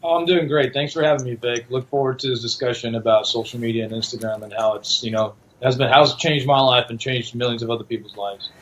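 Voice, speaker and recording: A man's voice: mid-range voice, steady tone, quick pace, few pauses, rough recording. A man appearing as a guest in an interview, giving friendly, brief answers.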